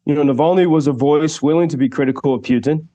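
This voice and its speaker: A man's voice: a little high, fast talker, mellow, lo-fi sound. Man reporting live by radio line. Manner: thoughtful, many fillers and restarts. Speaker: radio correspondent. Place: Kyiv.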